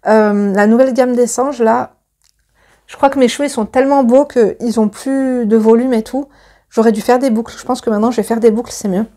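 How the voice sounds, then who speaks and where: A woman's voice: on the high side, steady tone, a little fast, mellow. A woman in her thirties chatting to her viewers and reading a letter aloud, gushing and full of fillers and restarts.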